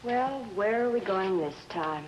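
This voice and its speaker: Wisecracking, bored wife, played by a woman, suspense drama; biting delivery with very lively intonation, noisy old recording. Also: mid-range voice, a little slow, lo-fi sound, natural voice. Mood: scornful. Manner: caustic, wisecracking, impatient. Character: bored, sarcastic, discontented wife of a judge.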